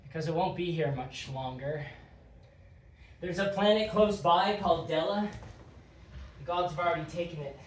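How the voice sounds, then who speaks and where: A woman playing a teasing otherworldly former adjudicator in an audio drama, with a playful, informal, slightly halting delivery. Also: on the low side, distant mic, natural voice. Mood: somber. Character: Quench, teasing otherworldly former adjudicator who lives outside time. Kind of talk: audio drama acting, conversational. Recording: very echoey, off-mic, faint noise.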